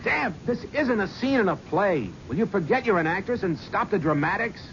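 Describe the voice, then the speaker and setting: An actor playing a cynical young talent-agency gofer in a 1970s radio drama, narrating and talking in a brusque, flippant, wisecracking way. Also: male voice, sits high, sing-song, moderate pace, a bit bright, exaggerated voice. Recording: muffled old radio broadcast, faint hiss.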